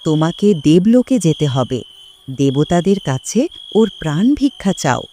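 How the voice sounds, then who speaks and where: A woman voicing a talking serpent, once a holy man, solemn and measured, in an animated story. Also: fairly low pitch, a little hiss or hum. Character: talking serpent, a cursed former Brahmin, calm and helpful.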